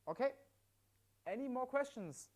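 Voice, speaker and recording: A man's voice: extremely high pitch, animated, unhurried. A man from Germany with a German accent, presenting products live in a chatty, step-by-step way. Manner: chatty, upbeat, explains step by step with frequent "yeah?" tags. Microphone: mic worn at his side.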